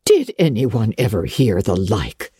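Woman putting on a stern older guardian, scolding, sarcastic, lively pitch, audiobook. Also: measured pace, husky, no room sound, studio quality, heavily acted. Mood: alarmed. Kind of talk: audiobook character reading.

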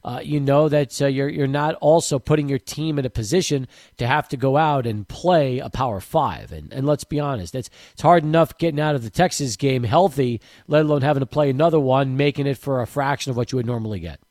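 A man's voice: medium pitch, fairly quick, hardly any pauses, darkish tone, no room sound, clean studio sound. A man hosting a sports radio talk show, asking chatty, agreeable questions of his guest. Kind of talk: radio interview.

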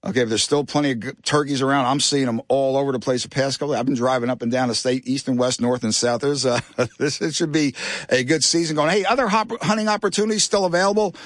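A man hosting a fishing talk radio show, chatty and excitable, rambling from topic to topic. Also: mid-range voice, quick pace, no echo, studio quality. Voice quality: nasal.

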